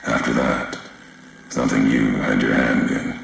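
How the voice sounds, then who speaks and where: An actor playing a grim, brooding wasteland wanderer in a video game, speaking gravely in cryptic, deliberate, clipped phrases with a gravelly voice. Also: male voice, rumbling low, flat delivery, very echoey, noisy background, exaggerated voice. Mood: grim, solemn. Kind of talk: video game character dialogue.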